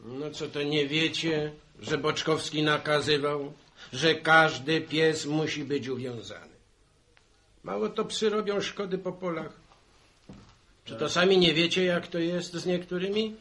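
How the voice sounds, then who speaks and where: A man's voice: on the high side, a little slow, fairly bright tone. A man acting a matter-of-fact, argumentative village postman in a 1960s Polish radio serial. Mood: insistent, explaining. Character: Wiktor, village postman bringing bad news to neighbours.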